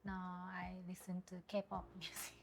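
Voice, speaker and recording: A woman in her twenties from Japan, with a Japanese accent, speaking English as a second language in a casual interview and pausing often to search for words. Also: lowish voice, completely flat delivery, background noise. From Osaka, Japan. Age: 20s. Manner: hesitant, searching for words, many fillers. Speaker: guest.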